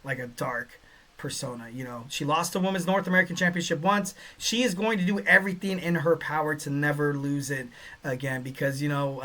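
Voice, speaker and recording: Young male podcast host, rambling and excitable, bright forward tone. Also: fairly high pitch. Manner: rambling, many fillers, excitable bursts. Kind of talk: casual solo podcast talk reading listener chat.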